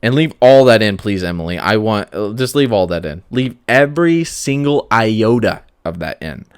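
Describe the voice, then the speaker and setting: A man's voice: fairly low pitch, expressive, normal speed, smooth, dry recording, studio quality. A man hosting a podcast solo in casual chat, rambling and cutting himself off with self-aware asides. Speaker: podcast host.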